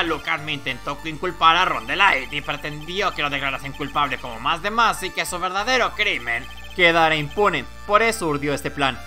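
A man voicing an old, solemn male judge from a video game, formal and deliberate. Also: fairly high pitch, pushed and bright.

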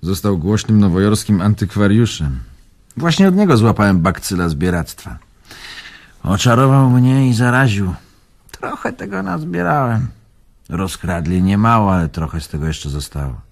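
An actor playing a spirited, fond old antique collector in a radio drama, reminiscing in a theatrical, effusive way. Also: male voice, lowish voice, big pitch swings, moderate pace. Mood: proud, effusive. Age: older.

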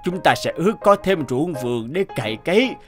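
A man voicing a kindly, humble old woodcutter in an animated folktale, gentle and a little hesitant. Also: fairly high pitch, brisk. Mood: earnest. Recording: music behind the voice.

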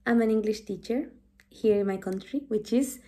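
A woman's voice: on the high side, normal speed, mellow. A woman in her twenties from Chile with a Chilean Spanish accent, a native Spanish speaker and English teacher, introducing herself to camera in a small room at home, earnest and friendly, restarting her phrases often. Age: 20s. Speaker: English teacher applying for volunteering. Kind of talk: self-introduction video to camera. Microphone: device camera at close range.

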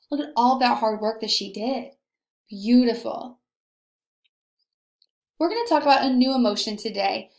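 A young woman teacher leading a read-aloud lesson for young children, animated and encouraging, asking questions and pausing for answers. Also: fairly high pitch. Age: young adult. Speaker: early elementary teacher. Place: indoor room, echoey. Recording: echoey room.

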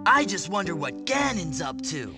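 A cocky young elf hero in a cartoon game. The voice is eager and bouncing in pitch, exclaiming over loud music. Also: male voice, sing-song, moderate pace. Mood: eager, restless. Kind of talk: cartoon character acting for a video game.

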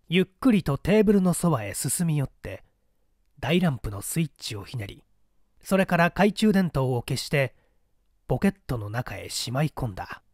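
Expressive male narrator, close dry sound. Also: medium pitch, dry recording. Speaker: audiobook narrator. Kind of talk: scripted dramatic narration of a mystery story.

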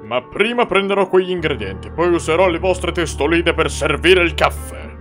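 A man's voice: on the high side, animated, normal speed, a bit bright. Man playing a pompous scheming cartoon ghost chef. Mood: menacing, gloating. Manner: florid, pompous wording. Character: grandiose, scheming ghostly male chef, genial turned menacing. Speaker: villain in a fan dub. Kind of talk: theatrical cartoon dubbing. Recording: music under the voice.

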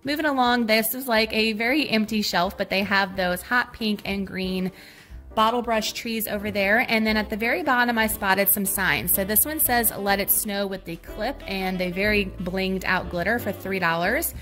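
A woman's voice: medium pitch, moderate pace, fairly bright tone, soft background music. A woman with a Southern US accent, chatting casually through a shopping vlog, upbeat as she points out items and prices, recorded on a handheld phone in a big store. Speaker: shopping vlogger. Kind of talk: casual shop-with-me narration. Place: large retail store, indoors. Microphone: handheld phone camera.

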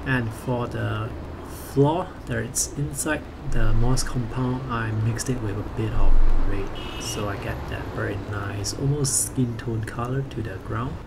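A man calmly explaining his sketching and watercolor process in a voice-over. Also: mid-range voice, vocal fry. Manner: calm, explanatory, unhurried. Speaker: artist narrating his sketching video. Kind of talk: voice-over commentary on painting. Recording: audible background noise.

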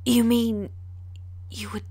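Woman voicing a husky, growling female beast. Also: fairly high pitch, level tone, slow pace, mellow, no room sound. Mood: guarded, demanding. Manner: commanding, menacing. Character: huge, fierce, angry female beast guarding her castle. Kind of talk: audio drama acting.

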